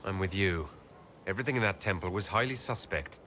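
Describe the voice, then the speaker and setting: An actor voicing a steady, supportive heroic leader, a man, in a video game. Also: low voice, fairly quick, a little hiss or hum. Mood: agreeable. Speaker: player hero. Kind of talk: video game character acting.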